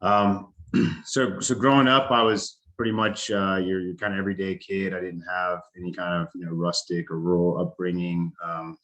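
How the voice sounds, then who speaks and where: A man, giving a casual talk as a veteran, halting with many fillers and restarts. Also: low voice, little pitch movement, fairly bright tone, low-quality recording. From United States. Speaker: veteran speaker.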